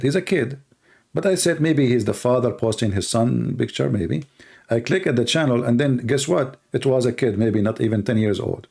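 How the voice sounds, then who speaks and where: A man from the Middle East, a native Arabic speaker with an Arabic accent, hosting an informal live call-in show in a sarcastic, mocking manner with halting phrasing. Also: mid-range voice, vocal fry, a little mellow, breathy edge. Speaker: host, religious apologist. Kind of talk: live call-in broadcast, rambling informal commentary.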